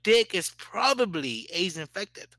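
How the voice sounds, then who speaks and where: A man berates someone over online voice chat, aggressive and heavy on profanity. His voice sits a little high and bright, with a dry, close sound. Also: very animated, unhurried, no room sound. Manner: aggressive, insulting, heavily profane. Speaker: debate stream participant. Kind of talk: heated online argument.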